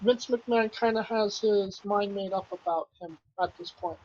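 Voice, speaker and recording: A woman hosting a casual podcast who asks questions and fills her pauses with um and uh. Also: mid-range voice, a little mellow, dull audio, slight background noise. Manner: questioning, many ums and uhs. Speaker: podcast host. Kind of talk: casual chat, asking questions.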